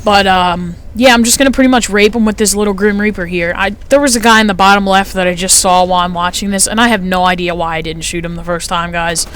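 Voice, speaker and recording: A fifteen-year-old boy with a light, high-sounding voice, chatting casually through his gameplay commentary with lots of fillers. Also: steady tone, fast talker, few pauses, fairly bright tone, slight background noise. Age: teens. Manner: chatty, rambling, frequent fillers.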